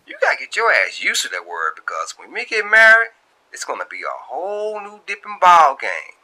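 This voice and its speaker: A man's voice: high-pitched, huge pitch swings, fairly quick, pushed and bright, voice pushed up, exaggerated voice. A man voicing a sassy, headstrong young woman in love, in the colloquial dialogue of an audio story. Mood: playfully sassy. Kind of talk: acted dialogue in a narrated story.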